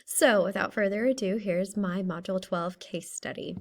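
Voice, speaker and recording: A woman in her thirties with a slightly raspy, tired voice, methodically presenting a school case study for a class. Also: mid-range voice, moderate pace. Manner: steady, methodical, works through a list of questions. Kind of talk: reading a prepared class presentation.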